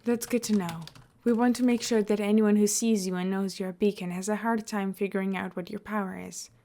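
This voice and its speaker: A woman's voice: medium pitch, steady tone, brisk, warm tone, natural voice. A woman reading the part of a terse male executive who is hard to please, in an audio story. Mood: matter-of-fact.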